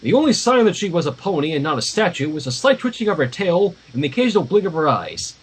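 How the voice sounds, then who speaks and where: A man's voice: on the high side, very animated, fast, husky, poor audio. A man reading a story aloud informally on a livestream, dropping in casual asides. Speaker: livestream fan fiction reader.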